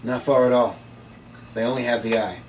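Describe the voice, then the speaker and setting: A man's voice: mid-range voice, steady tone, moderate pace, close to an everyday voice. A man reading the part of a brusque, knowing occult investigator in an amateur drama table read. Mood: brisk, knowing. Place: room, read aloud at a table. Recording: distant room mic, faint noise.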